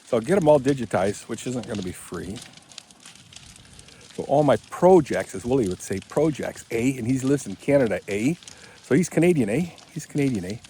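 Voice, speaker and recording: A man's voice: mid-range voice, animated, normal speed, dark tone, slight background noise. A man in his seventies chatting in a rambling, casual vlog while working outdoors on rural land, often correcting himself as he goes, recorded on a handheld phone camera. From Michigan, USA. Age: 70s. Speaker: vlogger.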